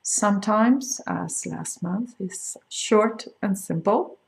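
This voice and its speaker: A middle-aged woman with a Swedish accent, a crochet designer talking casually to camera and explaining things with frequent pauses and fillers. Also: medium pitch, gravelly. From Sweden. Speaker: crochet designer hosting a video podcast. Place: home in France.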